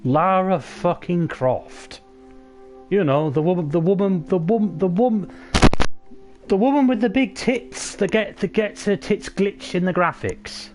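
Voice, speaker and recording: A man streaming a video game, talking to the characters in loud, sweary, rambling banter. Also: sits high, a little slow, music in the background. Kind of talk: game livestream commentary.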